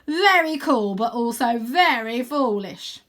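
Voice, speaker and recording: A woman voicing an excitable, reckless young boy in a storybook reading, eagerly calling out. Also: on the high side, takes it slowly, pitched above the performer's own voice, exaggerated voice. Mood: excited. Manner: exclaiming, eager.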